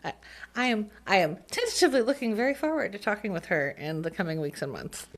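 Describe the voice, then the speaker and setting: A woman co-hosting a podcast, chatting casually about local politics, often laughing and pausing with fillers. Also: mid-range voice, sing-song. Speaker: podcast co-host.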